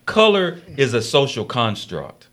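A man's voice: medium pitch, huge pitch swings, a little slow, a bit bright. A man on a talk radio panel speaking casually, rambling and repeating himself, often checking that listeners follow. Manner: rambling and repetitive, keeps asking "you see what I'm saying".

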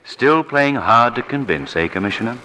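A man's voice: medium pitch, lively intonation, moderate pace, bright, forceful tone. A man playing an urbane, confident detective and crime-fighter in a 1940s radio drama, assured and dryly challenging. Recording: noisy 1940s radio broadcast.

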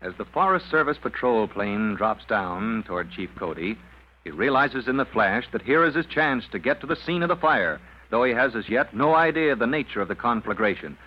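Male storyteller for a vintage radio adventure, mid-pitched, dramatic, dull recording with slight noise. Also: animated, a little fast. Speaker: narrator. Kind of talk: 1940s radio serial narration, scripted. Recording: muffled old radio recording, faint noise.

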